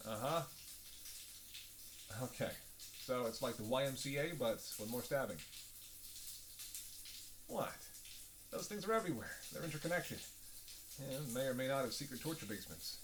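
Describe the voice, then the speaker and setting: A man's voice: medium pitch, animated, normal speed, long pauses, a little hiss or hum, natural voice, poor audio. A man playing a sardonic, playful vampire who banters sarcastically with his hunter in an audio roleplay. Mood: incredulous, playful. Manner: sarcastic, playful, drawling asides with sighs and scoffs. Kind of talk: audio roleplay acting, one-sided dialogue.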